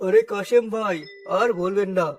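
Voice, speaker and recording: A harsh, haughty shopkeeper played by a man from a cartoon drama. His voice sits high, scolding and looking down on everyone, with music clearly behind him. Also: fairly even intonation, normal speed, lightly acted. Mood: haughty, grumbling. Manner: scolding, contemptuous, domineering. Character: harsh, haughty male sweet-shop owner obsessed with cleanliness. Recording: clear background music throughout.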